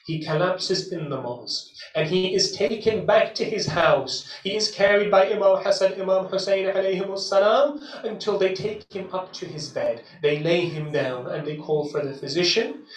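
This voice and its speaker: Male religious lecturer, very high voice, mournful dramatic storytelling, recorded at a distance in an echoing space. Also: normal speed, hardly any pauses, slight background noise. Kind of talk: emotional sermon, retelling a sacred story. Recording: echoey hall, distant microphone.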